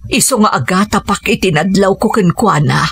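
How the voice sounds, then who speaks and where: A woman's voice: mid-range voice, moderate pace, gravelly, a bit bright, husky, exaggerated voice. A woman playing a worried, perceptive mother in a radio drama, speaking urgently and cautiously, with music underneath. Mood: firm. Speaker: mother Lumen.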